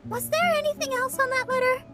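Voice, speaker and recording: Earnest, very high-voiced young girl. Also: fairly even intonation, a little fast. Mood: curious, earnest. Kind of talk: anime-style video game voice acting. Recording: studio voice under game music.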